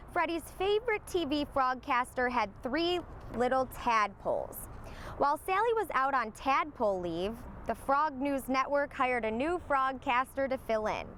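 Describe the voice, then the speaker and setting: A woman reading a children's storybook aloud in an expressive storytelling manner. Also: high-pitched, slight background noise. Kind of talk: scripted storybook narration for young children.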